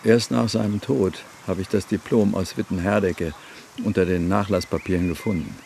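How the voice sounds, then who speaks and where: An actor playing a brooding bereaved brother in a radio drama, musing in a halting, dry and wryly unsettled way. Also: male voice, low-pitched, steady tone, vocal fry, a little mellow, slight background noise. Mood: reflective, dry. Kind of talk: naturalistic radio play acting.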